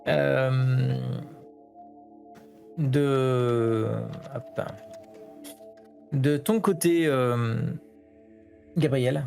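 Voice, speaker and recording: A man runs a tabletop role-playing game, describing the scene in an unhurried, halting way. His voice has a gravelly edge at a middle pitch. Faint music plays behind him. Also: darkish tone. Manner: hesitant, many fillers between phrases. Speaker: game master.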